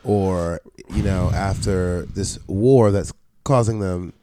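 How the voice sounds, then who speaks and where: A man's voice: sits low, slow pace, dark tone, slight background noise. A man hosting a podcast, asking incredulous follow-up questions in casual conversation. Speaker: podcast host. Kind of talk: interview conversation.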